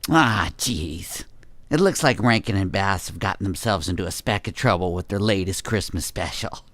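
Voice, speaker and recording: A man's voice: low-pitched, lively intonation, normal speed, a bit bright, dry recording, pitched below the performer's own voice. A man voicing a genial, folksy snowman storyteller in a parody of Christmas special narration. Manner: folksy, easygoing.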